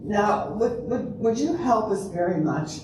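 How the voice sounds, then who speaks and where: Older woman acting slow, patient lawyer, echoey. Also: mid-range voice, animated, lightly acted. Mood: patient, firm. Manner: measured questioning, halting. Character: patient, probing lawyer questioning a troubled client. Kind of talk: amateur readers' theater. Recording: distant, very echoey, noisy room.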